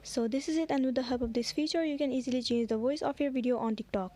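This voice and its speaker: Fast-talking woman narrating a how-to. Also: high-pitched, mellow. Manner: instructional, step-by-step. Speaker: tutorial host. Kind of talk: scripted how-to tutorial narration.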